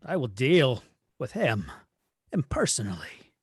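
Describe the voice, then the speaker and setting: A man's voice: medium pitch, very animated, measured pace, breathy edge, dry recording, clean studio sound, heavily acted. A man playing an imperious, coldly menacing alien android villain with haughty delivery in a script table read. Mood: cold menace.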